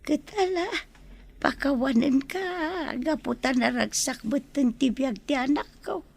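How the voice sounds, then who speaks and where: A woman playing a frail, gentle old mother in an Ilocano radio drama, tender and husky, with an Ilocano accent. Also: high-pitched, a little slow. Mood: weary, tender.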